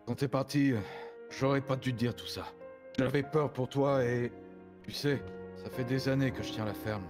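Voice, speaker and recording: A man playing a middle-aged farmer father, protective and remorseful, in halting, plain-spoken French game roleplay. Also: medium pitch, natural voice. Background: music.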